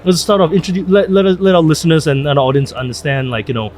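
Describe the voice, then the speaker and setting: A man's voice: on the high side, steady tone, fast, a little mellow. A man hosting a podcast interview at a trade event, talking in a hesitant way with many fillers and restarts. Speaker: podcast host. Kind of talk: conversational interview.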